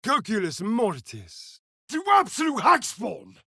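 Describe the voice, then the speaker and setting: An actor playing a sardonic, preening vampire elf rogue in a video game, with an arch, theatrical, drawling delivery. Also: male voice, sits high, wildly swooping pitch, unhurried, bright, forceful tone, slightly rough, no room sound, clean studio sound, exaggerated voice. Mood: commanding incantation. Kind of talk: video game character dialogue.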